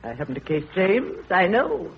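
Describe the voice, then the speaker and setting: An actress playing a brisk, certain, inquisitive woman in a 1930s radio drama, with clipped, emphatic delivery. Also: fairly low pitch, sing-song, heavy background noise. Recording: muffled, narrow-band 1939 radio broadcast.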